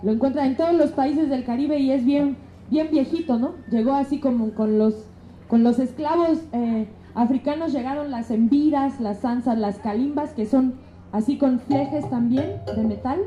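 A woman with a Mexico City accent chats casually as an interviewed musician. Her voice is high and very soft-edged, caught in an echoey, muffled room. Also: normal speed, a little hiss or hum. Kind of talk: casual conversational explaining.

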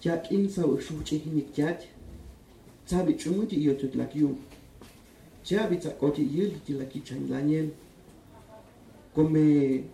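Man with a dark voice, reading from far off. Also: a little high, gravelly. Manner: calm, steady reading voice. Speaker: writer reading his own text. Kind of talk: literary reading at a public event. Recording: echoey room, distant mic.

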